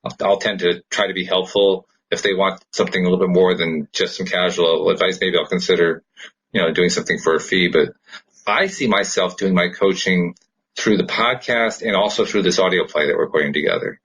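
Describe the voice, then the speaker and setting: A man's voice: low-pitched, brisk, fairly bright tone, breathy edge, rough recording. A man hosting a podcast, chatting casually and explaining his thoughts in an earnest way. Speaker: podcast host. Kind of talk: casual conversational podcast chat.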